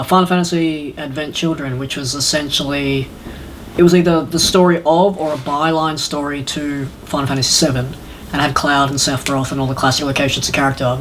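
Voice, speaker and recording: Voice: mid-range voice, low-quality recording. Casual podcast host over noticeable background noise. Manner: rambling, acts out imagined lines. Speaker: podcast host reviewing video game films. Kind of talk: casual opinionated panel chat.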